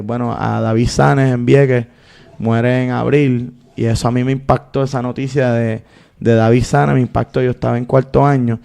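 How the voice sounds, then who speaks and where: A Puerto Rican man in his thirties speaks as an interview guest, his voice gravelly with a dark, mellow tone. He tells his story in long, earnest stretches, unhurried and full of fillers. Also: medium pitch. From Puerto Rico. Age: 30s. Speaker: guest, activist and filmmaker.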